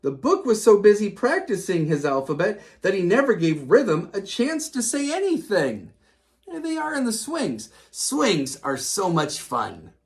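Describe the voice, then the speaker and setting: Female storyteller for a kids' read-aloud, very lively, swooping intonation. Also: medium pitch, measured pace. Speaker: children's storybook reader. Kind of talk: animated read-aloud narration for children.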